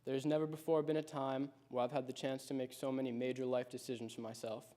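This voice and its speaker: Teenage boy, high school senior, prepared speech, reflective, flat delivery, mellow dark voice. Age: late teens. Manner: reflective, measured storytelling.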